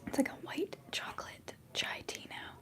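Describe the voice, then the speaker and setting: A young woman whispering softly and chattily during a live ASMR stream, giggling now and then. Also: normal speed. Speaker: livestream host.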